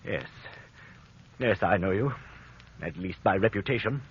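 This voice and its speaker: An actor playing a guilty, frightened man, defensive and halting, in 1940s radio mystery style. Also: low voice, expressive, slightly rough, dry recording, heavily acted. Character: guilty, frightened man hiding a deadly wager. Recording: dull old-time radio recording.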